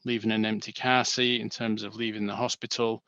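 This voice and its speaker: A man from Manchester, England with a Manchester accent, co-presenting a seminar in a halting way with many fillers and restarts, heard over a video call. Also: lowish voice, flat delivery, fairly bright tone, dry recording, highs cut off. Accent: Manchester English.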